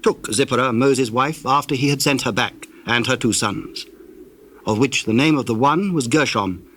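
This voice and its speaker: A man narrating a dramatized scripture reading in a solemn, formal and measured storytelling manner. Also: medium pitch, a bit bright, slight background noise.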